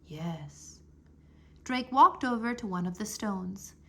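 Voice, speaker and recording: A woman narrating a children's adventure book aloud with expressive, dramatic phrasing. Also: on the high side, moderate pace, vocal fry. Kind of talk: children's storybook read-aloud.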